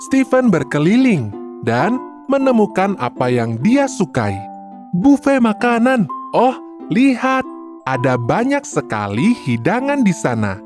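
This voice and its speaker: A woman narrating a children's cartoon story in an expressive way. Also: medium pitch, a little slow, over music.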